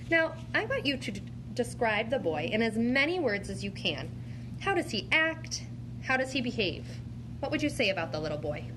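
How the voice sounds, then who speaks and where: A woman teacher demonstrating an interactive read-aloud, speaking to a child in an encouraging, questioning way. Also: on the high side. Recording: clear background noise.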